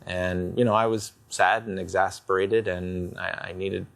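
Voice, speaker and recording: A man giving a candid personal account in a hesitant, halting way. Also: low voice, steady tone, creaky, fairly bright tone. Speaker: husband in couples counselling.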